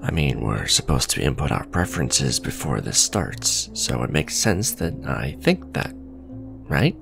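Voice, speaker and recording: A man acts out a male supervillain on a blind date in an ASMR audio drama. His voice is very low and gravelly, with lively swings in pitch. He comes across as blunt yet awkward, hesitant and businesslike. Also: a little slow, music in the background, heavily acted. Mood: awkward, defensive. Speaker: voice actor. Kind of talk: ASMR romantic roleplay acting.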